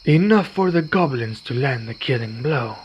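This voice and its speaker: A man playing a weary, courteous vampire in an ASMR roleplay, speaking in formal, measured phrases. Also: medium pitch, animated, natural voice. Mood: solemn recounting. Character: weary, courteous male vampire, wounded but calm. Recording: dull recording with night insect noise. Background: crickets and night insects.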